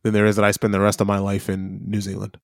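A man's voice: fairly low pitch, monotone, fast, vocal fry, no echo, studio quality. A man in his thirties with a Midwestern American accent, chatting casually and arguing his point with easy laughs. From United States. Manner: chatty, argumentative, laughs easily. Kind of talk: casual chat.

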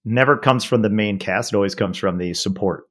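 A man's voice: on the low side, brisk, fairly bright tone. A man co-hosting a podcast in casual chat, blunt and opinionated, swearing casually. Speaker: podcast co-host.

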